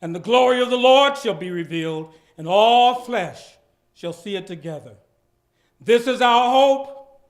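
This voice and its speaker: A man's voice: extremely high pitch, animated, unhurried. A man giving a dramatic reading of a speech in preacher-like civil rights oratory, emphatic and declamatory, drawing out phrases and leaning on repetition. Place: live public event at a heritage center.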